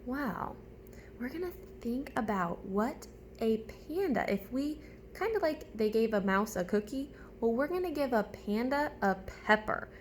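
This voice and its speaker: A woman's voice: a little high, expressive, a little slow, slight background noise. A woman teacher reading a storybook aloud to young children, chatting to them directly with simple questions and step-by-step prompts.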